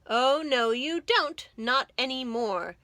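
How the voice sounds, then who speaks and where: Greedy rat highwayman, played by a woman, boastful and menacing, bright forceful delivery, storybook villain. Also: animated, slow pace, dry recording, full character voice. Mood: bullying, scornful. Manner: boastful, bossy. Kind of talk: children's storybook read-aloud.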